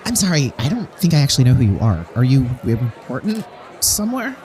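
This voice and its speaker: Female talk show host, played by an actress, self-promoting, backhanded, very animated delivery over crowd murmur. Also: rumbling low, fairly quick. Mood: thinly polite. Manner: gushing with dry, backhanded politeness. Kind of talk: broadcast interview in audio drama.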